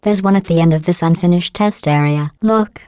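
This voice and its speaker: A woman's voice: fairly low pitch, normal speed, smooth, no room sound, lightly acted. Woman voicing a cold, mocking female AI. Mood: deadpan mocking. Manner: dry, condescending insults delivered calmly. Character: cold sarcastic female AI overseer. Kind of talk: video game voice clips.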